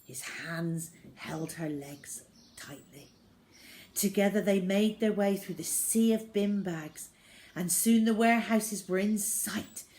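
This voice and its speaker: A woman reading a children's novel aloud as an expressive storyteller. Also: medium pitch, slight background noise.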